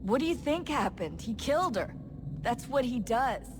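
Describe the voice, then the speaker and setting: A woman's voice: on the high side, very animated, normal speed, noisy background. An actress playing an earnest, troubled young woman in a modern covert team, speaking hesitantly and sincerely in a video game. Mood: sad, bitter. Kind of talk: naturalistic video game acting.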